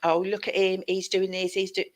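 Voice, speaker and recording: A woman's voice: mid-range voice, flat delivery, moderate pace. A middle-aged British woman hosting a casual live chat show over a video call, effusive and informal, repeating phrases for emphasis. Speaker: talk show host. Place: indoor room at home, on a video call. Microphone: headset.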